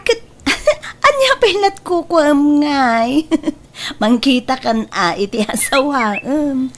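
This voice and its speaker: An actress with an Ilocano accent playing a coy, teasing young woman in a radio drama, with playful, drawn-out delivery. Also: high voice, animated, a little hiss or hum.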